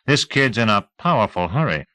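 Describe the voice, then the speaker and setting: A man's voice: on the low side, big pitch swings, fairly quick, pushed and bright, dry recording. A man voicing a small, cocky police chief for an audiobook, sardonic and commanding, with mock-friendly needling.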